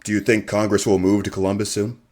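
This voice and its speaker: A man acting as a local radio reporter in a radio play. His voice sits fairly low, and he comes across as courteous and earnest, putting his questions in a measured manner. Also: flat delivery, a little mellow, lightly acted. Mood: probing. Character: polite, earnest male local radio interviewer. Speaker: actor in an audio drama. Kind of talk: radio theater acting, news interview questions.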